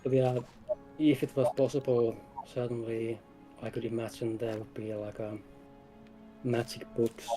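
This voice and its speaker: A man's voice: medium pitch, level tone, unhurried, mellow, soft background music, poor audio. A man speaking casually and a little hesitantly as a game developer guest in an interview. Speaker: game developer, lead designer, stream guest.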